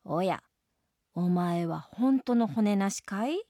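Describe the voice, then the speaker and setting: A storybook mother jellyfish, played by a woman reader, stern and brusque. She chides in blunt, folksy words with a husky edge, caring beneath the scolding. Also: on the low side, animated, a little slow, a little mellow, no room sound, clean studio sound.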